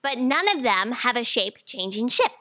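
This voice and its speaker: An actress playing an eager, outspoken young fact checker, earnest and insistent, in a staged audio drama. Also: female voice, on the high side, animated, normal speed, bright, forceful tone. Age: young adult. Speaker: stage actor. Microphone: stand microphone on stage.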